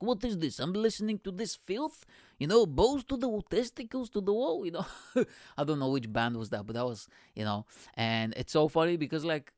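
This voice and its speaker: A young man from Bulgaria, a podcast host telling stories in a rambling, profane, animated way. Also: medium pitch, no room sound, clean studio sound. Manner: profane, rambling, animated, constant "you know what I'm saying". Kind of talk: casual storytelling monologue.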